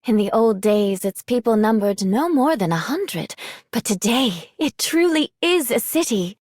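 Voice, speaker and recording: Cheerful, high-voiced game heroine. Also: female voice, expressive, a little slow, a little mellow, dry recording, studio quality. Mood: warm, reflective. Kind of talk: scripted game character voice line.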